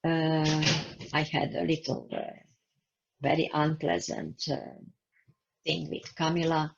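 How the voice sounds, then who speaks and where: A woman in her eighties from Serbia giving personal testimony over a video call, speaking slowly in halting phrases. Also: deep voice, creaky, noisy background. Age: 80s. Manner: slow, halting, non-native phrasing. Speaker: Holocaust survivor interviewee. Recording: dull lo-fi video call audio.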